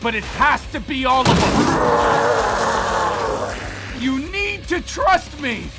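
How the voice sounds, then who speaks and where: Super high frantic paranoid survivor dude, swings all over, zombie comic drama, loud music under it. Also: male voice, huge pitch swings, slow pace, full character voice. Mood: pleading. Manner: frantic, shrill, indignant outbursts. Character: unhinged, paranoid, frantic survivor man who hears voices.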